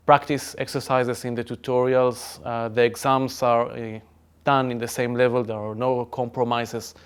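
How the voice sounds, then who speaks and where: Male academic podcast guest, Israeli accent, clean resonant medium-pitched voice, emphatic delivery. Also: smooth. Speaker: chemistry professor. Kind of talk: conversational podcast discussion.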